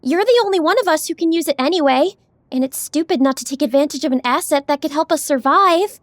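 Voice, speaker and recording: A woman playing a youthful, enthusiastic, easily awed sidekick in a science fiction audiobook, with an eager, openly expressive delivery. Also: high-pitched, quick pace, no echo, lightly acted. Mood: insistent, curious.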